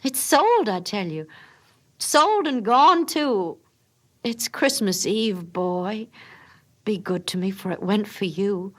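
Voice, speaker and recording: A woman's voice: mid-range voice, expressive, takes it slowly, pitched above the performer's own voice. A woman voicing an eager, loving young wife in a dramatic storybook reading, breathless and emphatic. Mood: earnest, insistent.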